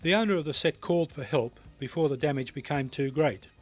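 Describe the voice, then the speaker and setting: A man's voice: a little high, fairly quick. A man reading scripted instructional narration for a technical training film in a precise, matter-of-fact way. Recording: music behind voice, VHS tape transfer.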